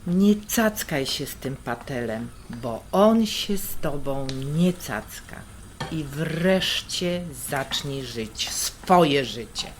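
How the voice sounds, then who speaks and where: Radio drama: blunt, teasing woman of the world, played by an actress, low-ish and very animated, audible cutlery and pans behind. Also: slow. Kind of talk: radio drama dialogue, naturalistic. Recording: clear kitchen noise and clatter. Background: cutlery, stirring, pots and pans.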